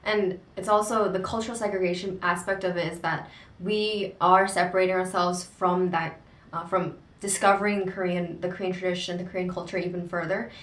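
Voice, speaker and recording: A young woman co-hosting a casual book discussion, speaking thoughtfully with frequent hesitant pauses. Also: on the low side, steady tone, a bit bright. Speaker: co-host of a book discussion channel. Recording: echoey room, distant mic.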